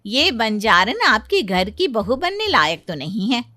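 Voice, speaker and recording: A woman's voice: fairly high pitch, sing-song, measured pace, bright, forceful tone, no echo, studio quality, heavily acted. A woman voicing a snobbish wedding guest in a cartoon story, with a sneering, snobbish manner.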